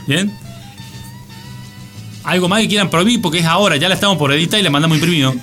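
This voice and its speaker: A man's voice: fairly high pitch, fast talker, sharp tone. A man with an Argentine accent hosting a casual, joking radio chat. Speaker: radio host. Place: radio studio. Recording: music bed behind the voice.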